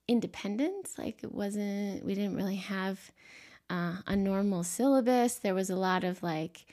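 A woman hosting her own podcast in a soft, quiet voice, a little stuffy and sniffly from allergies, talking gently with frequent ums and restarts. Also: lowish voice, vocal fry. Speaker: podcast host and life coach. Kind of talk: solo podcast monologue.

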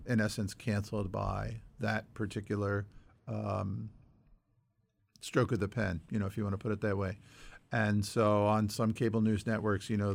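A man co-hosting a podcast, talking through news points in a measured, explanatory way with frequent qualifiers and a gravelly edge. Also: lowish voice. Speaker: podcast co-host. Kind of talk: conversational news discussion working through numbered points.

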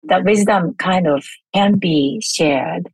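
A middle-aged woman from Japan with a Japanese accent, answering interview questions thoughtfully, pausing to search for words. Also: lowish voice, breathy edge, dry recording, low-quality recording. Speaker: guest, elder care researcher.

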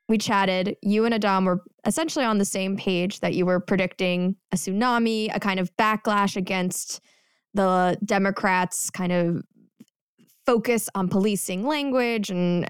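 A woman's voice: medium pitch, normal speed, clear, resonant tone, no echo, studio quality. A woman hosting a podcast, asking questions in a casual, hesitant way. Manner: laughs often, hesitant questions. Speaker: podcast host. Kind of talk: casual interview chat.

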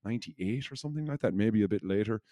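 A man with a very low voice co-hosts a casual podcast. He speaks in a loose, rambling flow, often poking fun at himself. The sound is close and dry, with hardly any room in it. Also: animated, quick pace, mellow, no echo. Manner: rambling, jokey, self-deprecating. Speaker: podcast co-host. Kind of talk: casual unscripted podcast chat.

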